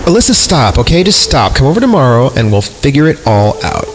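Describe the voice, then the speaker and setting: Horror story part: man reading a worried, reasonable teen friend, calming, music behind. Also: mid-range voice, fast, in a large hall, voice pushed up, close to an everyday voice. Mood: insistent. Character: concerned teenage friend, soothing, trying to be logical.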